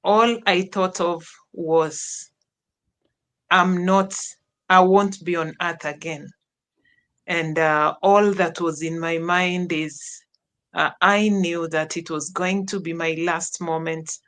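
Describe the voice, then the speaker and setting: Kenyan lady guest, low-ish voice, real slow, lots of uh's and restarts. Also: steady tone, fairly bright tone. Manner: slow, halting, many "uh" pauses and restarts. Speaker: guest, teacher. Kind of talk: personal testimony in an interview.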